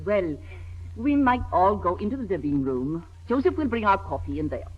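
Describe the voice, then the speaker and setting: An actress playing a cold, controlling older mother with a measured, commanding manner in a 1940s radio drama. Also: mid-range voice, very animated, lightly acted. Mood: cold, scheming. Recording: dull vintage radio recording with music.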